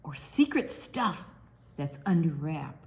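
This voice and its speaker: Older woman reading slowly, very lively pitch. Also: gravelly. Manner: expressive, drawn-out reading with explanatory asides. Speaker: storytime reader. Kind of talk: read-aloud picture book storytime for young children with asides.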